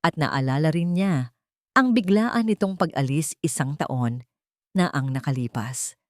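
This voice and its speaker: Filipino lady narrating a drama story, voice kinda low, swings up and down a lot, super dry recording. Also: expressive, measured pace, no room sound. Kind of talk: dramatic scripted narration of a Tagalog melodrama.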